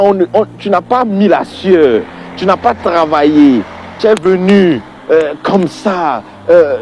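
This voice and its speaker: A man's voice: high voice, a little mellow. A man giving an informal, emphatic opinion monologue to viewers, conversational and prone to repeating his phrases for weight.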